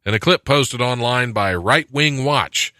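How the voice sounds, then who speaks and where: A man's voice: medium pitch, measured pace, pushed and bright, no echo, studio quality. A man hosting a casual comedy podcast, reading news items and breaking off into sarcastic, joking asides. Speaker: podcast host. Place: studio.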